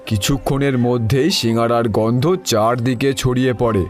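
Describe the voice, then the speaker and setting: A man reads scripted cartoon narration in a mid-pitched voice. A faint music bed runs underneath him. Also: fairly even intonation, normal speed.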